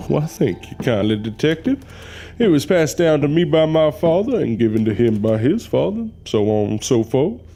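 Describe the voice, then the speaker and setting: An actor playing a folksy Southern mortician and spirit medium in an audio drama, courteous and hesitant with drawn-out phrasing. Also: male voice, a little high, big pitch swings, dark tone. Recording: music under the voice.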